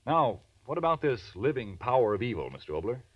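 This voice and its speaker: A man's voice: mid-range voice, big pitch swings, a little fast, breathy edge, no echo. An American man announcing and selling in polished, emphatic 1940s radio style. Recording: dull 1940s radio recording.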